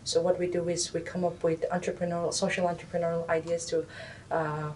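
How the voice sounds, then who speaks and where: Soft-spoken young woman from India, distant. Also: little pitch movement, brisk. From Nagaland, India. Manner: hesitant, many fillers and restarts. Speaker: interviewee, recent graduate. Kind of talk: interview answers. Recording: distant mic, faint rumble.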